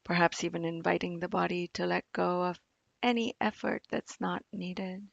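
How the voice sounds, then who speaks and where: A woman meditation teacher leading a guided practice in a gentle, soothing manner, leaving long pauses between phrases. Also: on the low side, fairly even intonation, gravelly, no room sound, highs cut off. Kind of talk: guided body scan meditation.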